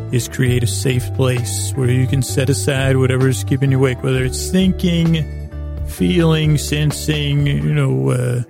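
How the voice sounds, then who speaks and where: Creaky-voiced man rambling a bedtime monologue. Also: mid-range voice. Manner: meandering, halting, repeats and rephrases himself, gently goofy. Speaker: podcast host.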